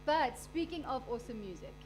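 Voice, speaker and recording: A woman's voice: high voice, lively intonation, brisk, crowd noise. A woman in her forties hosting a casual chat show, telling stories with brash, joking asides. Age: 40s. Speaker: host.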